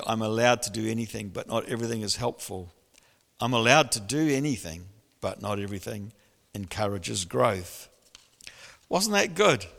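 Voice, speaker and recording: Middle-aged male pastor, gravelly and animated, preaching in a hall with a long echo. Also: lowish voice. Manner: animated storytelling with bursts of laughter. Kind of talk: sermon. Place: church hall. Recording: long church reverb.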